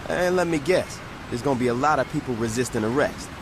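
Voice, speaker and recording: A man performs a young street gang boss for a video game, cocky and blunt. His mid-pitched voice moves around with animation, full of casual slang and swearing. A faint noise sits under the recording. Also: expressive, fast talker, close to an everyday voice. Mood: dry, sardonic. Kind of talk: video game cutscene acting.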